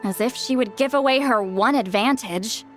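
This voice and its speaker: A woman's voice: on the high side, moderate pace, fairly bright tone, soft background music, lightly acted. A woman voicing a proud, prickly great shaman in a video game, haughty, defensive and theatrical. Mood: matter-of-fact, proud.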